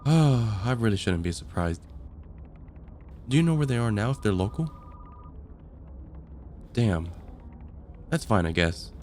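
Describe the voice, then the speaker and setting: Man playing a low-voiced, patient vampire caseworker. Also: unhurried, long pauses, slight background noise. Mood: mildly resigned. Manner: calm, explanatory, gently wry. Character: friendly, patient vampire caseworker onboarding a newcomer, dryly amused. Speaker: ASMR roleplay voice actor. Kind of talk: ASMR roleplay, conversational acting.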